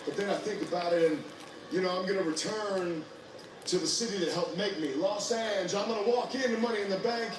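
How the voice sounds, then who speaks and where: A man's voice: sits high, brisk, far from the mic, background noise. A man delivering a boastful wrestling promo in a declamatory style, played from a TV broadcast. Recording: echoey TV broadcast heard from across a room. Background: TV music.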